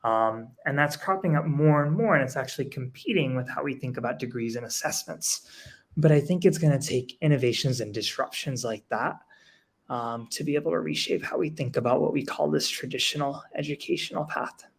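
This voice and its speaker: A man's voice: mid-range voice, a little fast, gravelly, slightly rough. A man speaking as an education panelist, analytical, building his points through rhetorical questions. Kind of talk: panel discussion answer.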